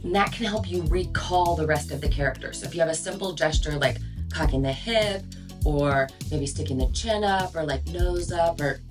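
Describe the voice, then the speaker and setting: Low-pitched woman giving a chatty lesson. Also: moderate pace, over music. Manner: chatty, emphatic, informal. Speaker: voice-over coach and show host. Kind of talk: casual instructional video chat.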